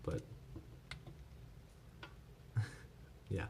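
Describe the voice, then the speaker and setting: A man presenting a casual hands-on product tutorial, explaining informally step by step without a script. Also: drawn-out words. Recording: clear background noise.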